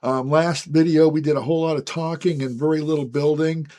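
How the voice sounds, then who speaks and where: A man with a hoarse, raspy voice as he gets his voice back, hosting a casual, chatty walkthrough of building a scale model. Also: on the high side, brisk. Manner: relaxed, chatty, frequent "guys" and "um". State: hoarse, recovering from lost voice.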